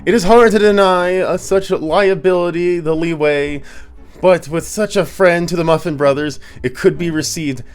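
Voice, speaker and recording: A man's voice: a little high, moderate pace, clean tone. A man with a slightly congested voice giving a theatrical reading of his own comic prose, prone to breaking up. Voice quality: congested sinuses. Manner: theatrical, often breaking into stifled laughter. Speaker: author reading own writing. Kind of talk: dramatic reading of comedic prose.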